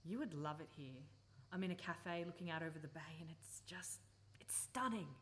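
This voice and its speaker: A woman's voice: sits low, normal speed, lightly acted. A woman playing a wistful, earnest and romantic filmmaker in radio play dialogue. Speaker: actor in a live radio play. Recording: live recording with clear background noise.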